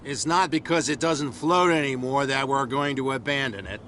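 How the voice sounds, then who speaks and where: A man's voice: mid-range voice, normal speed, a bit bright, a little hiss or hum, natural voice. A man voicing a talkative, conspiratorial out-of-work drunk in a video game. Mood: chatty. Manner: chatty, conspiratorial. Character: jumpy, talkative male out-of-work island drunk.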